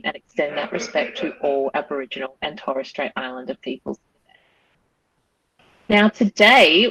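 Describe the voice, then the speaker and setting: A woman's voice: sits low, fairly bright tone, no room sound. A woman with an Australian accent hosting and introducing an online webinar, speaking in a welcoming way with frequent ums. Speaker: webinar host and general manager. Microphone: video call. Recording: dull, lo-fi video call audio.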